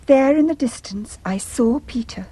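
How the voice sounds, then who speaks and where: An actress playing a distressed, indignant young English wife, speaking with well-bred, plaintive drama in a radio farce. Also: female voice, fairly high pitch, lively intonation, unhurried, warm tone, breathy edge, no echo, poor audio. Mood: aggrieved, dramatic. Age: young adult. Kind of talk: stage farce acting for radio.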